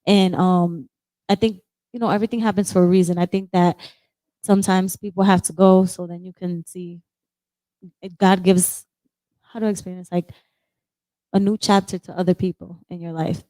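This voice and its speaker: A woman with a high, girlish voice, speaking softly and emotionally as she shares a personal story and reads a poem. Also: flat delivery, moderate pace, long pauses, dry recording. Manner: soft-spoken, emotional, apologetic. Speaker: poet sharing at an open discussion. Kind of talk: personal sharing and poetry reading.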